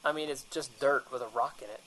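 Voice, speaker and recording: A man's voice: mid-range voice, steady tone, quick pace, fairly bright tone, a little hiss or hum, natural voice, poor audio. A man playing a cocky, defensive, paranoid monster hunter in a comedy radio drama.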